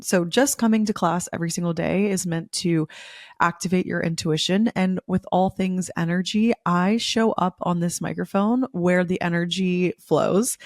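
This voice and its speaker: A young woman hosting a spiritual podcast, a little hoarse from losing her voice, talking in an effusive, repetitive way. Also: fairly low pitch, no echo, studio quality. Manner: effusive, repeats words for emphasis. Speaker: podcast host. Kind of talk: casual spiritual podcast monologue.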